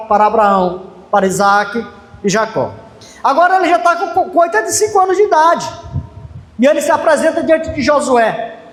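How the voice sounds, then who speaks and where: A man preaching to his congregation in a very high voice. He leans into key phrases and often raises his voice. The sound fills a roomy church hall, and a long reverb trails behind each line. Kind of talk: sermon.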